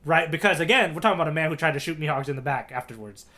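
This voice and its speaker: Guy with a slightly high voice on a podcast, casual talk, keeps checking in with "right?". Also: racing, crowd noise. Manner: often ends points with a questioning "right?". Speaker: podcast co-host.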